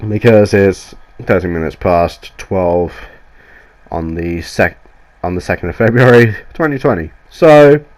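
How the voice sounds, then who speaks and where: A man's voice: deep voice, a little slow, a little mellow. A man with a cold, sounding nasal and coughing now and then, rambling through a casual, sweary game review voiceover. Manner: rambling, sweary, enthusiastic, restarts often. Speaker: gaming YouTuber. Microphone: voiceover mic.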